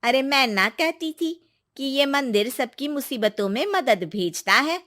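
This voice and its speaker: Woman playing a bright, high-voiced, reassuring village woman. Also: animated, unhurried. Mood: guarded. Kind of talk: cartoon moral-story acting.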